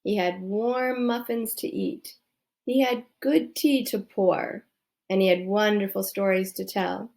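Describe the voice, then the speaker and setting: A woman reads a children's bedtime story aloud at a very unhurried pace. Her mid-pitched voice has a strong creak to it. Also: steady tone, a little mellow. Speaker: storybook reader.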